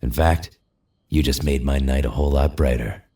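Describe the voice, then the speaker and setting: A man playing a gruff superhero loner, menacing yet flirtatious, with a rough gravelly voice in an audio drama. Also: a bass voice, moderate pace, dark tone. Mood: flirty.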